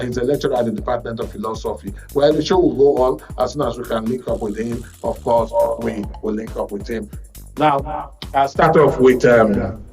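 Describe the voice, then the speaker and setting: A man's voice: medium pitch, darkish tone. A man with a Nigerian accent hosting a live studio current affairs discussion, explaining at length and repeating phrases for emphasis. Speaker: radio and TV current affairs host. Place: broadcast studio.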